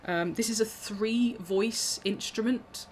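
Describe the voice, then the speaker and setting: A woman's voice: fairly high pitch, slow, a little hiss or hum. A woman musician talking casually through her instruments on a video call, chatty and self-deprecating with frequent ums and little laughs.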